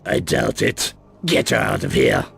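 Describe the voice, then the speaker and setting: An actor playing a wary, menacing underworld boss in a video game, guarded and dismissive in manner. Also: male voice, lowish voice, expressive, a little slow, creaky, a bit bright, heavily acted. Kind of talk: video game cut-scene acting.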